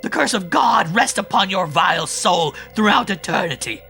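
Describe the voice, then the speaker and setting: Vengeful gaunt old crone, performed by a man: pitched-up screaming, theatrical archaic cursing for a horror tale, over clear music. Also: animated, moderate pace, pushed and bright, exaggerated voice. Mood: furious cursing. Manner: theatrical, incantatory, archaic speech.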